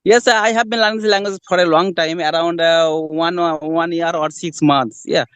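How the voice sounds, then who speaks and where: Bengali accent guy, kinda high crisp voice, polite, repeats himself. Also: fairly even intonation, normal speed, a bit bright, dry recording. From Bangladesh. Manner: formal, repetitive, polite. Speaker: conversation practice host. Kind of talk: practice conversation.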